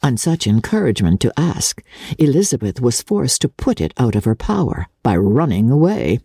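A woman narrating a classic novel in a measured, theatrical, expressive way. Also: extremely low pitch, slightly rough, no echo, studio quality. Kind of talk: dramatic literary narration.

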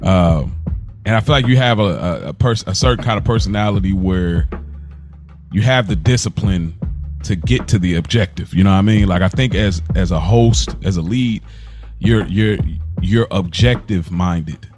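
Male podcast host, very low voice, inquisitive, light music under him. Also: moderate pace, darkish tone. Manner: curious, probing, asks follow-up questions. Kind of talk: casual chat.

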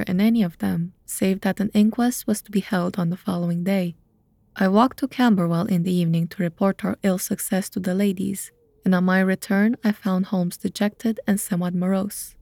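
Mellow woman narrator, very dry recording. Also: medium pitch, no room sound. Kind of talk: scripted audiobook narration.

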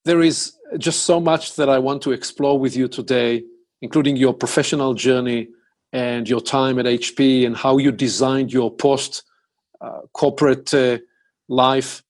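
A man hosting an interview, putting questions in a deliberate, conversational way, phrase by phrase. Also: mid-range voice, steady tone. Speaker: interviewer, host.

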